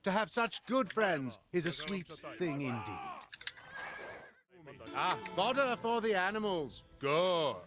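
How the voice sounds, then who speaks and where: An actor playing a boastful, scheming Greek tyrant in a video game, with a pompous, drawn-out delivery. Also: male voice, high-pitched, big pitch swings, music in the background, full character voice. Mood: flattering, breezy. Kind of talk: video game dramatic acting.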